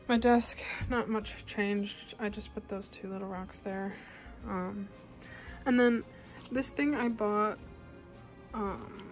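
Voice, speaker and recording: A young woman talks casually to her vlog camera in a bedroom at home. Her voice sits at an ordinary pitch for a woman, with music clearly playing behind her. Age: young adult. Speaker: vlogger.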